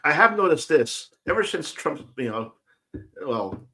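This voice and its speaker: Lively-voiced man chiming in. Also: on the high side, measured pace, slightly rough. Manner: agreeable, chiming in briefly. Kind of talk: casual political chat.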